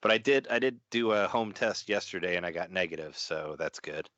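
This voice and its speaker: Male podcast guest, low voice, casual and chatty, dry muffled remote audio. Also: animated, a little fast, fairly bright tone, dry recording. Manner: chatty, repeats words as he goes. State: recovering from an illness, voice mostly back.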